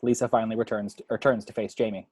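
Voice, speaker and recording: A man's voice: lowish voice, level tone, rapid-fire, a little mellow, slightly rough, no room sound, clean studio sound. A man reading out the action description of a screenplay as a scripted narrator.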